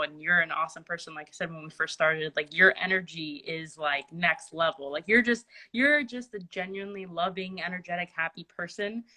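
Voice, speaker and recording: Bright-voiced animated woman host. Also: fairly low pitch, poor audio. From Miami, Cuban family. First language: Spanish. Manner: animated, laughs easily, chatty. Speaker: interview host. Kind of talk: casual interview chat.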